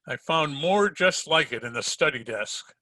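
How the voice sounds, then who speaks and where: An actor playing a tough, hard-boiled private detective in 1940s radio drama style, sardonic and slangy. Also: male voice, on the high side, expressive, unhurried, sharp tone, no room sound. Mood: cool, accusing.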